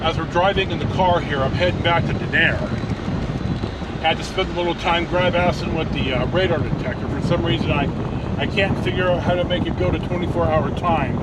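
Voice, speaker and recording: A man telling a casual, rambling vlog story in a plain-spoken, unpolished way. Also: a little high. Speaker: vlogger. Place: inside a moving car and outside on a car lot. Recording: noisy vehicle and outdoor background. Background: car and road noise.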